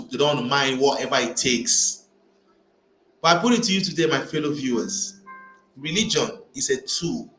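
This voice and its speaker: Man from Nigeria, high-pitched, emphatic vlogger ranting to camera; echoey room. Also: a bit bright. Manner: emphatic, repeats phrases while building arguments. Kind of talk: opinionated commentary to camera. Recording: echoey room with faint ambient noise.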